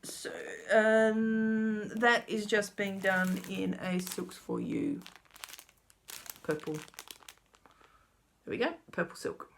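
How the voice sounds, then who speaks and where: A middle-aged woman chats her way through a stitching update, rambling and muttering to herself as she checks details. Her voice sits low with a gravelly edge, yet her pitch moves around a lot. A faint hiss sits under the recording. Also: big pitch swings, extremely slow, long pauses. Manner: chatty, rambling, talks to herself while checking. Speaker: cross-stitch vlogger. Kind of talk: casual show-and-tell stitching update. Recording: faint background noise.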